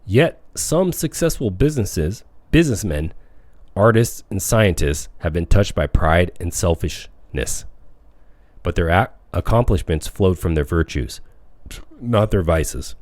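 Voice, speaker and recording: Deep voiced guy reading a self help audiobook, measured kinda declamatory delivery, dry close sound. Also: big pitch swings, darkish tone, dry recording. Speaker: audiobook reader. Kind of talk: scripted narration of a self-help book.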